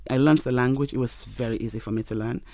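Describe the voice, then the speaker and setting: A man, a Yoruba speaker, telling his life story in an interview in an earnest, measured way. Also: mid-range voice, steady tone, dark tone, no room sound, clean studio sound. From Nigeria. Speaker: interview guest.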